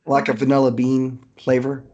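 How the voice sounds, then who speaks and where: A middle-aged or older man speaks as a guest in a relaxed interview. His pitch is average for a man. He takes his time, hesitating now and then, and keeps a good-humored manner. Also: normal speed, highs cut off. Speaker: author guest. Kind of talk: casual interview chat.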